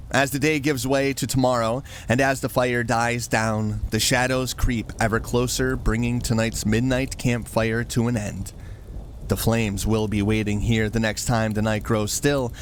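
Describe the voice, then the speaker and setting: Guy hosting spooky campfire show, scripted narration, mid-pitched, bit of background noise. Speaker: podcast host.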